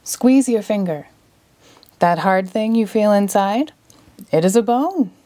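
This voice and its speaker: A woman voicing a cheerful, grandiose male stage magician in a storybook, with theatrical, drawn-out delivery. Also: mid-range voice, lively intonation, mellow. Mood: pleased.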